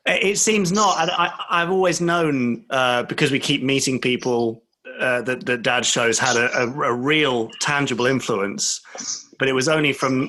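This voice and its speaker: A man's voice: medium pitch, sharp tone, poor audio. A man hosting a casual interview, speaking in a polite, thoughtful way. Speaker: podcast host.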